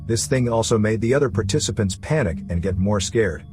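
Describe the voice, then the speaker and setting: A man with a fairly low voice delivers scripted recap narration. He tells a story as a narrator, and music plays clearly underneath his voice throughout. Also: expressive, a little fast. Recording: music running behind the voice. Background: background music.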